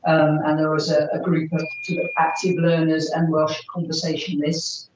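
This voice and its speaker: A woman's voice: deep voice, little pitch movement, moderate pace, darkish tone, slight background noise. A woman answering questions at a formal meeting as a park authority officer, hesitant and full of fillers. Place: meeting room. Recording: roomy, distant, dull recording.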